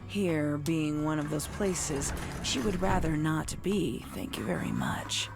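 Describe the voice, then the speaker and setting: Woman narrator, low voice, slow measured pace, dark horror storytelling, faint music behind. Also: big pitch swings, vocal fry. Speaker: fan fiction narrator.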